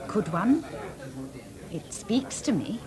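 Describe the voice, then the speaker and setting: A woman's voice: mid-range voice, big pitch swings, slow pace. An actress playing a frank, slightly self-deprecating young woman painter in a 1980s radio drama. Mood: earnest. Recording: party crowd behind.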